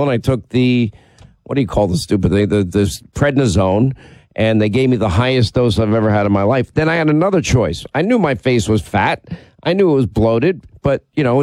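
A man's voice: medium pitch, darkish tone, no room sound. Congested middle-aged man hosting talk radio. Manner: talkative, rambling, self-deprecating. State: has a cold, congested. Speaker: radio talk show host. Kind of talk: casual on-air banter and commentary.

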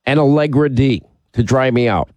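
A man's voice: medium pitch, normal speed, a little mellow, dry recording. Stuffy middle-aged guy w a cold doing talk radio, rambly, dry close sound. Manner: talkative, rambling, self-deprecating. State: has a cold, congested. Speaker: radio talk show host. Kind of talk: casual on-air banter and commentary.

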